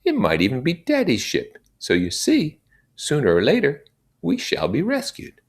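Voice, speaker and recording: A man's voice: mid-range voice, wildly swooping pitch, normal speed, slightly rough, voice pushed up. A man voicing a confident, insistent boy leader who declaims to a group in a storybook reading. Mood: confident, rousing. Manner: emphatic, declaiming.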